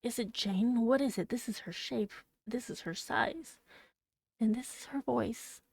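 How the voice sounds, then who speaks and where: Storybook role: tormented blind gentleman, read by a woman, imperious and impassioned, mellow with a gravelly edge, close dry sound. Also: a little high, a little slow, no echo. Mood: amazed.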